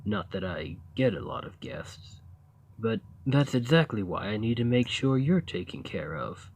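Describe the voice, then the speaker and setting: A man playing a friendly, naive, awkward giant who lives in a cave, in an ASMR roleplay, speaking in a hesitant, earnest, gently upbeat way. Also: lowish voice, big pitch swings, a little slow, exaggerated voice. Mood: eager to please. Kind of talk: ASMR roleplay, acted monologue to the listener.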